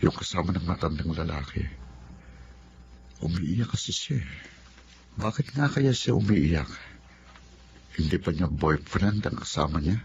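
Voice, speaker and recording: Earnest, very deep-voiced young man. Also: expressive, unhurried, gravelly, pauses often, a little mellow, heavily acted. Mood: wondering. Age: young adult. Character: earnest, kind young working student. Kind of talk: melodramatic drama acting. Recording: muffled, noisy drama audio.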